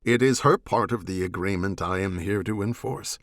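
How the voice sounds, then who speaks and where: A male reader puts on an evasive alien enforcer for a science fiction audiobook. He speaks formally and without hurry in a low register, quietly defiant, and the sound is close and dry. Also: no echo. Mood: unruffled. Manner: measured, formal, unhurried. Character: cool, evasive alien oath-bound bounty hunter, quietly defiant.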